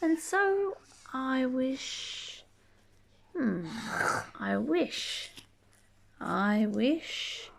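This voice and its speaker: A woman reading a rhyming children's book aloud expressively, drawing out key words and chatting gently to young listeners. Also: high-pitched, vocal fry. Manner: animated, drawn-out key words, gentle questioning asides. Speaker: storybook reader reading to children.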